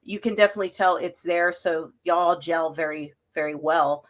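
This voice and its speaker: A woman's voice: fairly low pitch, moderate pace. A woman interviewer talking casually and eagerly with a band, saying y'all. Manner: enthusiastic, informal, says y'all.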